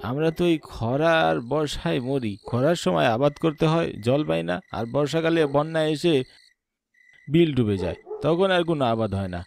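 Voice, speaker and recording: Man as higher-voiced, earnest village farmer. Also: normal speed, vocal fry, darkish tone, slight background noise. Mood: weary. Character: poor village farmer, plain-spoken and easily frightened. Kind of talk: cartoon drama acting. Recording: music behind the voice.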